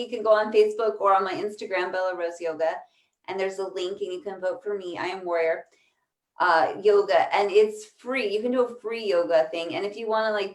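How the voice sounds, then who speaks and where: A woman guest chatting casually and ramblingly over a call from an echoey room. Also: medium pitch. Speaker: guest, yoga practitioner. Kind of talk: casual chat. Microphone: video call. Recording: roomy, echoey call audio.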